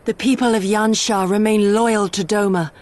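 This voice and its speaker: A woman's voice: medium pitch, fairly even intonation, moderate pace, darkish tone, close to an everyday voice. An actress playing a grave, devoted woman warrior in a fantasy game, speaking formally and with deference. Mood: earnest, stirring. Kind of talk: fantasy game cutscene acting.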